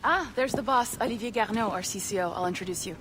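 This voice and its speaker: A woman acts as a friendly female guide in a video game, welcoming and encouraging. Her pitch sits in the middle, and there is faint noise behind her. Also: fast talker, lightly acted. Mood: upbeat, welcoming. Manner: encouraging, slipping into French greetings. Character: upbeat, friendly female studio guide welcoming a new hire. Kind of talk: scripted video game acting.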